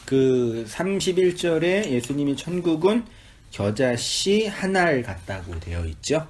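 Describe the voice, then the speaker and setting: A man's voice: on the high side, very animated, measured pace. A male pastor teaching a small Bible study group, his voice rising and falling a lot as he talks. He keeps it conversational, tossing questions to the group and laughing easily.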